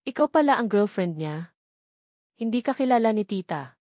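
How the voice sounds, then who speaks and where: A woman's voice: mid-range voice, expressive, normal speed, warm tone, dry recording, lightly acted. Young Filipina love rival, read by a woman, audiobook romance, condescending under polite concern, switches into English, dry sound. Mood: falsely concerned. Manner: code-switches between Tagalog and English.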